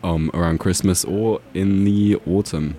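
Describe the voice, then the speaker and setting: Deep-voiced young man with a London accent. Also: fairly even intonation, measured pace, dark tone, breathy edge. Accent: London English. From Austria. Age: young adult. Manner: laughs often, joking. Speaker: student radio co-host. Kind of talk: casual chat.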